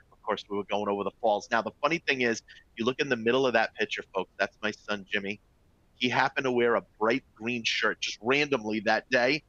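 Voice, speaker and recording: A man's voice: lowish voice, bright, forceful tone, dry recording, highs cut off. Upbeat Boston man giving a webinar. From Boston area, US. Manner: upbeat, keeps checking in with "all right?" and "folks". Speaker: travel company presenter. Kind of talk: live webinar presentation to travel agents.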